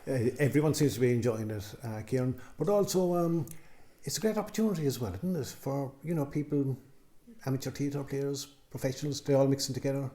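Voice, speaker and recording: A man asking informal interview questions in a halting way. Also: medium pitch, dark tone.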